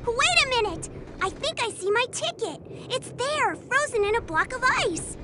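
Woman playing a high-voiced, timid child. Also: big pitch swings, moderate pace. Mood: excited. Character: timid, cautious child passenger. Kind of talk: video game cutscene acting. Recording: noisy train ambience behind.

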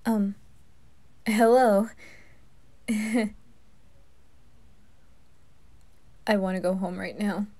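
Nervous anime boy, female performer: mid-pitched, unhurried, skeptical, casual, good-hearted fan roleplay. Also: fairly even intonation, dark tone. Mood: nervous, shy. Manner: hesitant, exasperated, casual.

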